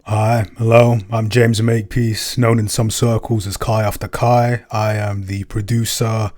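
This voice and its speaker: British man, low voice, dry humor. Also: little pitch movement, a little slow, darkish tone. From Britain. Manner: dry, joking, thoughtful asides. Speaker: podcast producer, co-writer and composer. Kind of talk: casual podcast chat.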